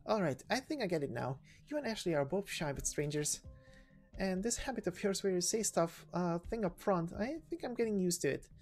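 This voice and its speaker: Woman playing a breezy, animated young knight girl. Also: on the low side, brisk, faint music behind, natural voice. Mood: easygoing. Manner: breezy, informal, hesitating as she talks. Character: chatty, warm-hearted, scrappy young woman knight.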